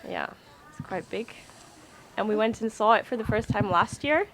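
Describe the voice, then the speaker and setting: A woman whose first language is German, a guest in a casual chat, answering softly with frequent laughs and hesitant pauses. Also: mid-range voice, animated, noisy background. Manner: soft-spoken, laughs often, hesitant with ums.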